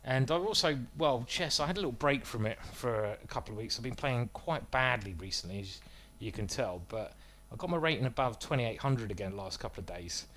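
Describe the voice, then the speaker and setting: A man's voice: mid-range voice, lively intonation, brisk, hardly any pauses, fairly bright tone, slight background noise. A man streaming live, commentating his own chess moves as he plays, thinking aloud and joking about his own bad play.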